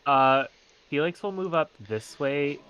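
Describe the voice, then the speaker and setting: A man's voice: on the high side, measured pace, fairly bright tone, clean tone, poor audio. A man chatting casually as a player in a tabletop game over an online voice call. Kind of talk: casual chat.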